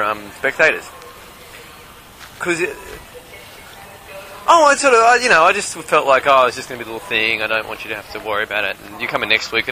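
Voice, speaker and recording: Bright-voiced man, casual and noisy. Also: medium pitch. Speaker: podcast host and amateur triathlete. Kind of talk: casual race recap, chatting on phone.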